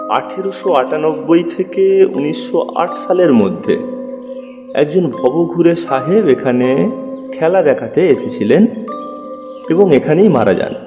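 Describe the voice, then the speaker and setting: A man narrating a scripted mystery story in a measured, expressive, dramatic style. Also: a little high. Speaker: story narrator, also voicing the investigator. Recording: long reverb over music and effects. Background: music and birdsong effects.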